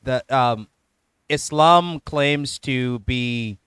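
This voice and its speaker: A Protestant man hosting a casual debate, talking in a steady, measured, explanatory way. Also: mid-range voice, clear, resonant tone, no room sound, studio quality.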